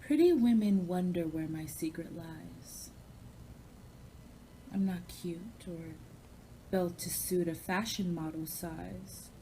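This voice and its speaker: A woman's voice: fairly low pitch, slow pace, pauses often, mellow, a little hiss or hum, low-quality recording. A woman performing poetry dramatically, with a sassy, self-assured, theatrical delivery. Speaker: poetry reader, actor.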